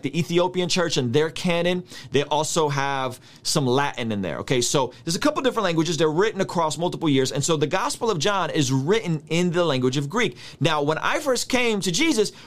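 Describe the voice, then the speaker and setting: Energetic guy in his 30s, russian is his first language, teaching bible stuff casual and slangy, bouncy intonation. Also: a little high. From United States.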